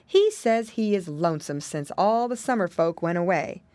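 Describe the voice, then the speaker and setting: A woman voicing a kindly, chatty old gentleman rabbit in a storybook, genial and explaining things. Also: fairly low pitch, expressive, moderate pace, no room sound, lightly acted.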